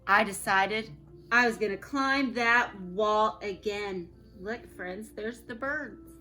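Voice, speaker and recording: A teacher reading a picture book aloud to her students from home, with expressive delivery and gentle asides to the children. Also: medium pitch, slow, soft background music.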